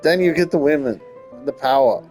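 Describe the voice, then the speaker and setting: A man's voice: a little high, expressive, normal speed, darkish tone. A man hosting a gaming video, reading game text aloud and adding casual comments. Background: game music.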